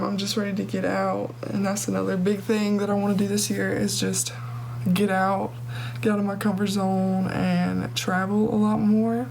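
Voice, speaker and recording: A young woman with a deep voice, chatting casually and joking through a makeup tutorial. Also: vocal fry, darkish tone, a little hiss or hum. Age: young adult. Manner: chatty and joking, heavy use of "like" and "literally". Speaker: beauty vlogger.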